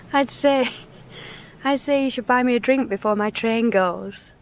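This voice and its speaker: An actress playing a forthright, wry young Englishwoman in a radio comedy, brisk, teasing and direct. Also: fairly high pitch. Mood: polite, amused. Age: young adult. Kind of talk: radio comedy drama acting.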